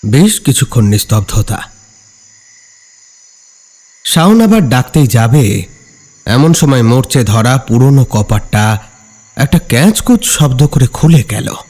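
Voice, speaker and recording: A man narrating a scripted horror story in a dramatic, measured, suspenseful way. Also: medium pitch, a little mellow.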